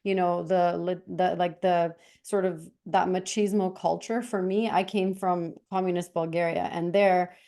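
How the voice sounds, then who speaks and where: A woman's voice: on the low side, fairly even intonation, measured pace, hardly any pauses, warm tone. A woman from Canada hosting an interview, speaking in an earnest, reflective way with frequent restarts. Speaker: host. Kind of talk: conversational interview.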